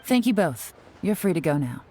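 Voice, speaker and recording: Cool, knowing game spymaster: low female voice, measured and assured, over music. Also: big pitch swings, warm tone. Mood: cool, composed. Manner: measured, self-assured, analytical. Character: composed, shrewd female intelligence chief, cool and knowing. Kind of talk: video game voice acting. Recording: music behind the voice.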